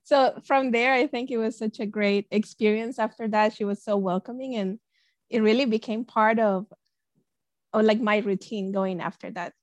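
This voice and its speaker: A woman from El Salvador speaks as a guest, earnest and conversational. Her voice sits a little high and sounds dry and close. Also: steady tone, moderate pace, no room sound. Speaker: librarian guest.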